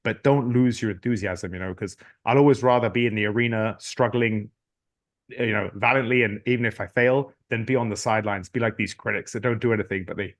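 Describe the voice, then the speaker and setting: A middle-aged man talking as a guest on a podcast. He speaks fast and earnestly. He keeps restarting his sentences and repeating words as he goes. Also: lowish voice. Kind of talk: casual interview talk.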